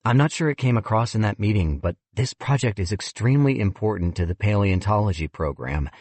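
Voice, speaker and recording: A man's voice: fairly low pitch, animated, fairly quick, darkish tone, no echo. A man voicing an earnest, frustrated male paleontologist who talks politely and pleads. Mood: earnest pleading.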